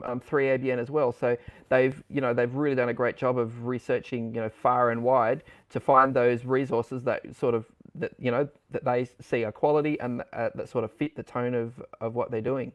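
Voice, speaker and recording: A man hosting an online church panel over a video call, talking in a halting way with many restarts. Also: mid-range voice, flat delivery, no room sound, highs cut off. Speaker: livestream host. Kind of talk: casual panel chat.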